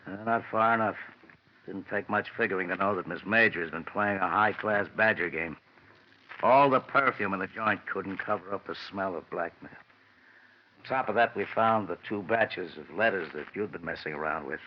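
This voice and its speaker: A dull, slightly noisy old radio recording. A man plays a sardonic police lieutenant with a low voice. His delivery is deadpan and slangy, in classic hard-boiled detective style. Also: normal speed, lightly acted. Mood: businesslike.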